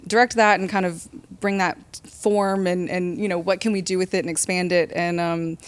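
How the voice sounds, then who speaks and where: Female podcast host, lower voice, chatty and affirming interviewer. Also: fairly even intonation, moderate pace, clear, resonant tone, no room sound. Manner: friendly interviewer, many fillers and affirmations. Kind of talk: casual podcast hosting.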